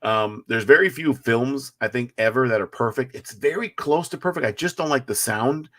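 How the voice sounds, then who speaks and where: A man in his forties, a guest filmmaker, talking movies with emphatic enthusiasm and quick restarts. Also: medium pitch. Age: 40s. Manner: enthusiastic, emphatic, repeats words when excited. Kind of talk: casual live-stream chat.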